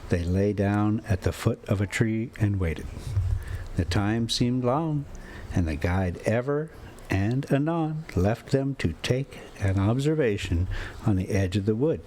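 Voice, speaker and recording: A man's voice: on the low side, a little slow, mellow, slight background noise. A man with a folksy manner, hosting a read-aloud story time in a casual, homespun way. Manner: folksy, rambling, chuckling self-commentary. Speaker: storyteller and host reading a classic novel.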